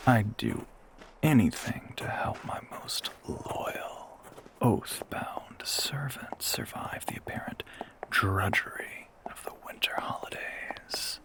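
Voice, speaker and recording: A man's voice: fairly low pitch, slow, poor audio. A man playing an ancient, teasing vampire who poses as a human boyfriend in an audio roleplay, drawling and often slipping toward a whisper. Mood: smug teasing.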